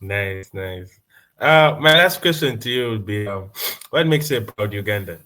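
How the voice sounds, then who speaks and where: A man's voice: lowish voice, animated, moderate pace, sharp tone. A man interviewing a podcast guest, speaking in brief, affirming interjections and short questions. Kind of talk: casual interview.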